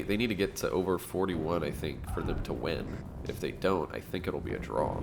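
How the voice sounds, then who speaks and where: Gravelly deep-voiced male game caster, stuffed-up. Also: background noise. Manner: excitable, fast reactions and laughs. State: nasal from allergies. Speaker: gameplay commentator. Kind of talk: casting a strategy game match.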